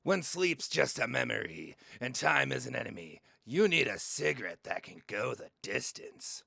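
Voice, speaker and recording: A man playing a slick, hard-selling radio pitchman and talk host with a creaky voice and theatrical delivery. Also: big pitch swings, normal speed, pushed and bright, dry recording, clean studio sound, exaggerated voice. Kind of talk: radio ad and pundit read.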